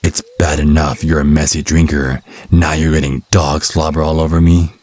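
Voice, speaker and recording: Man acting a very deep, smug vampire captive. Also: level tone, normal speed, a little mellow. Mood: sardonic, explaining. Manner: sardonic, mock-polite, teasing with pet names. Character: captured male vampire hunter, smug, taunting, cunning. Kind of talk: ASMR roleplay audio drama.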